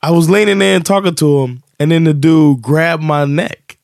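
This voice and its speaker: A man co-hosting a casual podcast, telling a story in English, animated and laughing, acting out the quotes. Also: fairly high pitch, moderate pace, no echo, clean studio sound. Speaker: podcast co-host and comedian.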